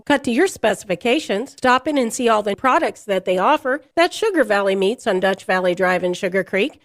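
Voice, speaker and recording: A woman with a fairly high voice narrates a radio commercial. The sound is dry and close, with scripted announcer delivery. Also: no echo.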